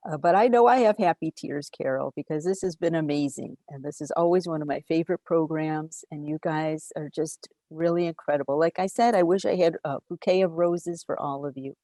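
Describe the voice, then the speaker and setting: A woman's voice: deep voice, fairly quick, few pauses, warm tone, dry recording. Effusive woman hosting a video-call talkback. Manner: effusive, reads audience questions aloud. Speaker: library program host and moderator. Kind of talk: moderating a virtual talkback.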